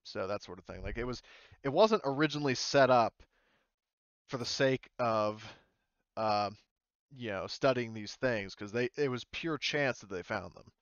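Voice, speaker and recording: Man running a tabletop game, clear voice. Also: mid-range voice, normal speed, no room sound, highs cut off. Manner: hesitant, searching for words. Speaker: game master. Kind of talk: casual tabletop roleplaying narration and chat. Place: voice chat in an online game session.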